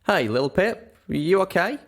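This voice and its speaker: Man doing a folksy pony, raised pitch. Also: big pitch swings, a little slow, creaky, full character voice. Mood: reassuring, sincere. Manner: folksy, conversational. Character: friendly folksy cowboy male pegasus pony, loyal and easygoing.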